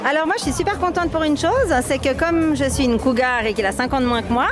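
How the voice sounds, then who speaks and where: A woman's voice: high voice, steady tone, fast. A woman in casual conversation, answering an interviewer's questions informally as one of a party's organisers. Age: 40s. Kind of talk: casual chat. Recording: party music and crowd chatter behind. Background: party crowd chatter and music.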